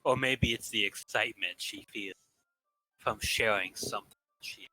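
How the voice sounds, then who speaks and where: Bright-voiced man reading narration. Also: lowish voice, moderate pace, faint music behind, poor audio. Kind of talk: reading game narration aloud.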